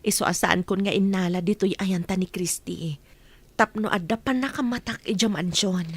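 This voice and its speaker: A woman playing a tender, long-yearning mother in a radio drama, with an emotional, breathy delivery. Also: medium pitch, normal speed. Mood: grateful, relieved.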